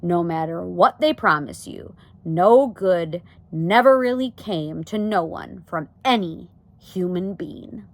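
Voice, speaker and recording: A grave, steady father from a storybook, played by a woman reader. He is firm and cautious, speaking in folksy, ungrammatical phrases with lively pitch movement. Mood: cautioning. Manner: folksy ungrammatical speech, measured. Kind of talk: storybook read-aloud.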